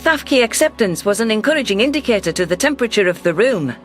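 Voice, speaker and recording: A man's voice: high-pitched, big pitch swings, fast, fairly bright tone, faint music behind. A man narrating a science fiction story in the first person, reading a scripted text.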